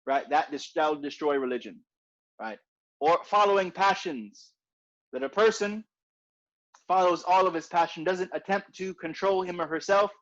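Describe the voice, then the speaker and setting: High-voiced man teaching a religious class. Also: normal speed, fairly bright tone. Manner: conversational, repeats points, frequent tag "right?". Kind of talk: informal religious class reading. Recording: dull lo-fi recording.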